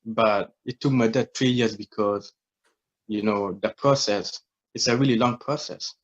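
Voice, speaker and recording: A man's voice: fairly low pitch, steady tone, a little slow, lo-fi sound. A young man from South Sudan in his twenties, a humble and reflective guest telling his story in a casual interview.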